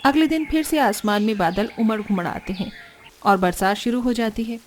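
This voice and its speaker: Female narrator, high pitch, scripted family cartoon storytelling. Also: moderate pace, slight background noise.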